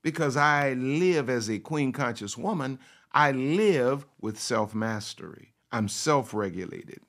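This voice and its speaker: A man's voice: medium pitch, expressive, slow, a bit bright. A man preaching a sermon. He repeats his phrases for emphasis, asks rhetorical questions and at times speaks as if he were a confident woman. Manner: speaks in the first person of a confident woman to make his point. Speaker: pastor.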